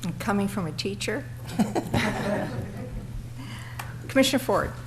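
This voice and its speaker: A woman with a raspy voice chairing a city commission meeting in an informal, chatty way. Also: mid-range voice, animated, a little slow, noisy background. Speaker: presiding commissioner.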